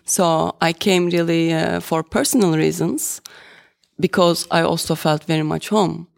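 An architect and artist in their thirties from Turkey, speaking with a Turkish accent in a deliberate, halting way on a panel. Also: mid-range voice, fairly even intonation, creaky. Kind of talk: panel discussion.

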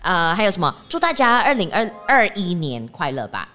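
A woman's voice: fairly low pitch, very animated, fairly quick, bright, forceful tone, soft background music. A woman with a Malaysian Mandarin accent hosting a casual group podcast, with a giggly, bantering manner. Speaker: podcast host.

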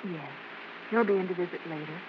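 An actress playing a gentle, soothing mother who works on the stage, in vintage radio drama style. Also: on the low side, a little fast, background noise, close to an everyday voice. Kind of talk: 1940s-50s radio drama acting. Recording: dull vintage radio recording with music.

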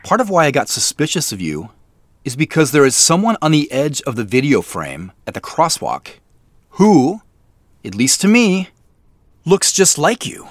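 A man's voice: fairly high pitch, huge pitch swings, normal speed, no room sound. A man playing a casual, probing detective in a naturalistic audio drama, dry and inquisitive. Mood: pointed, suspicious. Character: dry, inquisitive male detective working a case.

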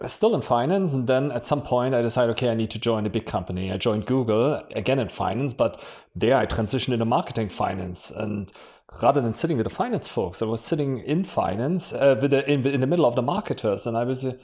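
A man's voice: mid-range voice, lively intonation, brisk, darkish tone. A man with a German accent, a podcast guest who talks frankly and tells stories about his career in a casual interview. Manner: frank, storytelling, laughs often. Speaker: podcast guest, marketing executive.

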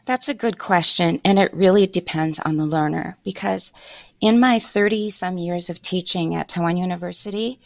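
A woman's voice: lowish voice, creaky, no echo. An older woman, an English teacher, giving emphatic advice in an interview and repeating points for stress. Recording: dull lo-fi dry sound.

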